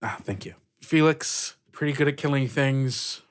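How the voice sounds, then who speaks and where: An earnest sea captain in a fantasy game, played by a man. The voice sits at a medium pitch and sounds weary. The captain addresses the crew in a halting, deliberate manner. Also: close to an everyday voice. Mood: earnest, awkward.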